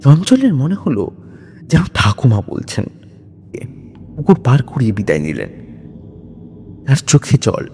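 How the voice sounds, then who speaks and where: A man's voice: mid-range voice, wildly swooping pitch, unhurried, pauses often, mellow, a little hiss or hum. A man narrating a scripted horror story in a dramatic style. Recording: long reverb with music bed. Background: music.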